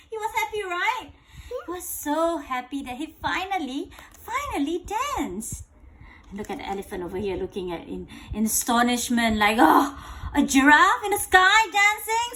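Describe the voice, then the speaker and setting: High-voiced, animated woman reading a bedtime story. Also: moderate pace. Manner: animated, asks the child frequent questions, repeats phrases. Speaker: storyteller reading a picture book to a child. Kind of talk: interactive bedtime read-aloud.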